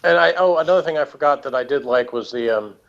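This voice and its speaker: A man's voice: mid-range voice, fast talker, creaky. Hesitant man in dull lo-fi audio. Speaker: podcast co-host reviewing television. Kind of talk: casual review chat.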